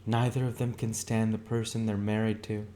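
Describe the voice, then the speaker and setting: A man's voice: on the low side, flat delivery, moderate pace, warm tone, slight background noise, voice pushed up, natural voice. A man voicing a gossipy, opinionated young woman in an audiobook, confiding and insistent. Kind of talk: audiobook character dialogue.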